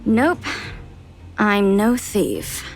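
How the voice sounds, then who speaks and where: A woman playing a curt, indifferent vagrant in a video game, deadpan and blunt in clipped phrases. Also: medium pitch, drawn-out words, gravelly, heavily acted. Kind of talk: English video game voice acting. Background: game music.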